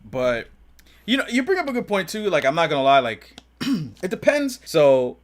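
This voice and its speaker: A man's voice: on the high side, wildly swooping pitch, moderate pace. A man co-hosting a casual fan discussion, speaking in an informal, slangy way. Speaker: podcast co-host.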